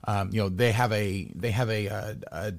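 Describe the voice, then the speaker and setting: A man's voice: lowish voice, steady tone, moderate pace. An American man hosting a casual sports interview, rambling and repeating words as he thinks aloud. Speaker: podcast host interviewing a sports guest.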